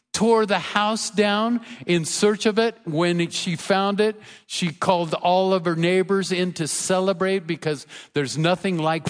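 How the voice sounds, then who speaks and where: Older pastor preaching in an echoing hall. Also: male voice, on the high side. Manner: earnest preaching, rising emphasis, with soft storytelling asides. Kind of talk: sermon. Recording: reverberant church hall.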